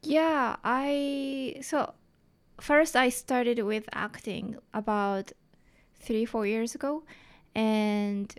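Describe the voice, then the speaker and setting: Slow-talking Japanese-accented woman. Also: fairly high pitch, fairly even intonation, clean tone. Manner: halting, many fillers and restarts. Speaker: comedian guest. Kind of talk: casual interview chat.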